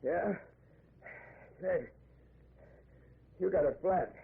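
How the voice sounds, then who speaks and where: An actor playing a rough, edgy male hitchhiker in an old-time radio drama, colloquial and hesitant. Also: high-pitched, lively intonation, drawn-out words, phone-quality sound, exaggerated voice. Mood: startled, indignant. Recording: noisy, thin old radio recording.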